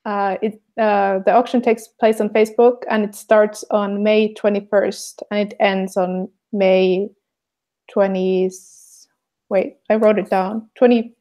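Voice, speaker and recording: A woman's voice: mid-range voice, vocal fry, mellow. A woman from Finland with a Finnish accent, chatting casually and hesitantly on a livestream. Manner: hesitant, many fillers. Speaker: artist, co-host.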